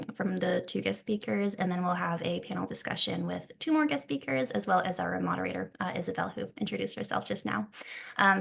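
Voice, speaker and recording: A woman's voice: medium pitch, gravelly. A woman hosting an online networking session over a video call, friendly and full of fillers. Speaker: event host.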